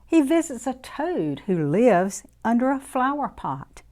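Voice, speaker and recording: A woman reading a picture book aloud to young children in an expressive, deliberate, sing-song way. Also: fairly high pitch. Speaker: children's story reader.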